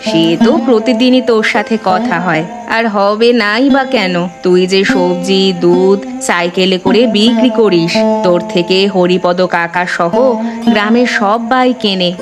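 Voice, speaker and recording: A folksy village mother from an animated folk tale, played by a woman. She is doting yet sharp-tongued and teases expressively in a mid-range voice. Music plays clearly behind her. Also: mid-range voice, a little slow, lightly acted. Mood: fond nagging. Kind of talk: Bengali animated moral-tale voice acting.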